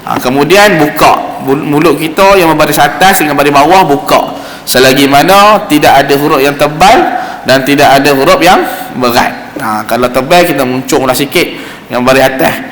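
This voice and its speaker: Quran teacher, male, Malaysian Malay accent, slightly high voice, explanatory classroom delivery in an echoing hall. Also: a bit bright. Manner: explanatory, repeats sounds slowly to demonstrate. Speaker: religious teacher giving Quran recitation class. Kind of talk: informal instruction with demonstrated Arabic recitation. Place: mosque hall. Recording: roomy echoing hall, faint background noise.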